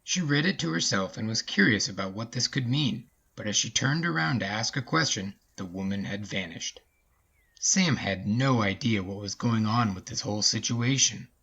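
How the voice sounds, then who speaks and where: A man's voice: fairly low pitch, lively intonation, moderate pace, creaky, pushed and bright, highs cut off. A man hosting a story podcast, speaking as an informal, easygoing storyteller. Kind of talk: story narration and casual chatty commentary.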